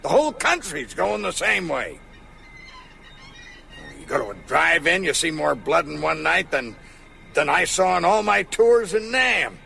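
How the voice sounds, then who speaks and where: Cynical old soldier, heavily gravelly, highish and sharp, world-weary, radio drama, faint music. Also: male voice, sing-song, measured pace, full character voice. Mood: bitter, resigned. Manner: bitter, cynical. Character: cynical, world-weary male war veteran fleeing vampire town.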